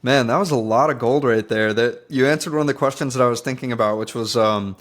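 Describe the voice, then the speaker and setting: A man's voice: medium pitch, fast talker. A man in his late twenties hosting an interview, asking questions with lots of ums and uhs. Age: 20s. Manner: hesitant, many ums, friendly. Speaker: podcast host. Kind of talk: casual interview.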